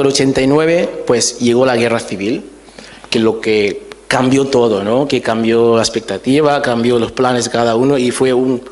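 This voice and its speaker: Man, 40s, from Liberia, church guest; mid-pitched, hesitant and loose, heard with a long hall echo. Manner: halting and informal, many restarts and fillers. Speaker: guest giving testimony. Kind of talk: interview.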